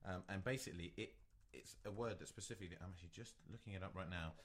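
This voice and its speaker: A man's voice: low voice, racing. A man co-hosting a podcast, chatting casually and rambling with many restarts. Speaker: podcast co-host. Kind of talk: casual chat.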